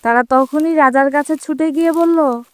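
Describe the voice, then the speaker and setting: A woman storyteller reads a scripted fable for children. Her voice is high, and the sound is very dry with no room echo. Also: normal speed.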